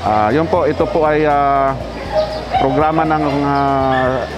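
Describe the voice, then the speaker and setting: A man speaks in an interview as a health official, pausing often and using polite filler words. His voice sits at a medium pitch. Loud music plays under him outdoors. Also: low-quality recording. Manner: halting, many fillers, polite "po". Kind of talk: on-site interview.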